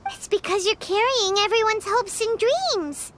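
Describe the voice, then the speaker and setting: A woman voicing a tiny, excitable female fairy companion with a squeaky, chirpy voice in a video game. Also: a little slow, noisy background. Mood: excited. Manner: exclaiming, eager. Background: game music.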